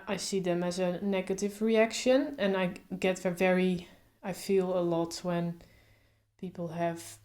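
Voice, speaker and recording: A woman speaks calmly and thoughtfully, stuttering openly with repeated sounds and blocks. Her pitch stays flat and steady, with little rise or fall. She thinks aloud in a casual way. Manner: calm, reflective, thinks aloud with fillers. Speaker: vlogger. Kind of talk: casual talk to camera.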